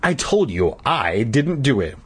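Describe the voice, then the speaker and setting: A man's voice: mid-range voice, very animated, unhurried, slightly rough, heavily acted. A man voicing a defiant, scornful young sister in a children's story, drawing out sneering denials. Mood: defensive.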